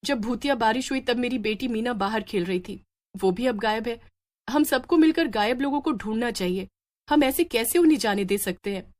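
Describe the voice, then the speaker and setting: A woman's voice: a little high, normal speed, a little mellow, natural voice. A woman voicing a bold, protective village mother in a story, speaking earnestly and insistently. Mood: pleading.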